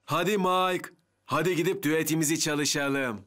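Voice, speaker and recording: An actor playing an eager, good-natured young firefighter with a husky voice in a children's cartoon dub. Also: male voice, a little high, fairly even intonation, normal speed, a bit bright, close to an everyday voice. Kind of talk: animated children's series dub.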